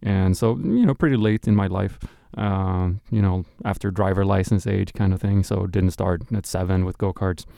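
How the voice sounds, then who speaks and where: A middle-aged man from Sweden with a Swedish accent, a racing driver telling stories in a relaxed, thoughtful way on a podcast. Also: deep voice, normal speed, gravelly, few pauses, dry recording, clean studio sound. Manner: relaxed, thoughtful storytelling with many restarts. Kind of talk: casual podcast chat.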